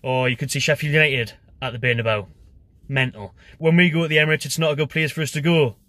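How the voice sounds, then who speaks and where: A man's voice: a little high, steady tone, quick pace, pushed and bright, no room sound. A young man with a Geordie accent gives a casual, chatty football preview to camera from inside a parked car. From North East England. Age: young adult. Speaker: football fan pundit. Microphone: phone camera at arm's length.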